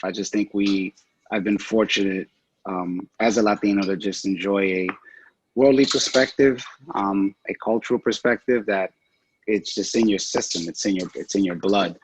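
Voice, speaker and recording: Low husky guy on a muffled lo-fi feed, halting, lots of ums. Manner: halting, many ums and restarts. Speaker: panel guest, record executive. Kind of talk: reflective panel answer.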